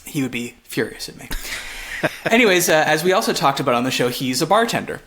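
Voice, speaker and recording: Guy from montreal on a comedy podcast, lively pitch swings, bright voice, jokey and chatty. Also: a little fast. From Montreal, Canada. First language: English. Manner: animated, joking, swears casually. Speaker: podcast co-host. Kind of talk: casual comedic podcast chat.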